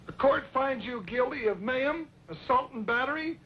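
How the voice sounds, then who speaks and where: An actor playing a folksy, eccentric older judge in an old western radio drama, creaky and a little pompous. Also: male voice, normal speed. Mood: blustering. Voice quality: creaky, high-pitched. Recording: dull, hissy old radio recording.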